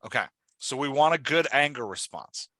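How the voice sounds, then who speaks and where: Bright-voiced man coaching in conversation. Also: medium pitch, animated, moderate pace, dry recording. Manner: emphatic, explanatory, asks leading questions. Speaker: podcast host and writing coach.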